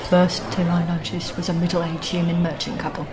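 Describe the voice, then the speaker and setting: A woman's voice: lowish voice, flat delivery, normal speed, natural voice. A woman playing a shrewd, gossipy tavern keeper in a fantasy audio drama, talking in a colloquial, conspiratorial way. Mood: grave, confiding. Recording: music and tavern crowd behind the voice. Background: music, tavern crowd.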